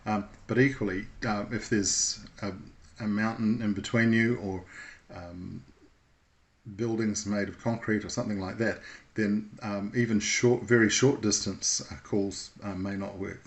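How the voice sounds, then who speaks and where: A man with a somewhat low voice speaks as an instructor. He explains things informally and in a measured way, pausing hesitantly as he goes. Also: fairly even intonation.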